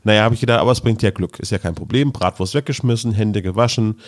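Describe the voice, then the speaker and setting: Podcast co-host, male, middle-aged, lower register, relaxed storyteller in casual conversation. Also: fast.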